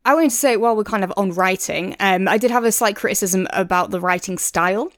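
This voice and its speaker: A woman co-hosting a review podcast in casual conversation, thinking aloud and softening her points as she goes. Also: mid-range voice, fairly quick, no echo, clean studio sound. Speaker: podcast co-host reviewing audiobooks; works in audio production.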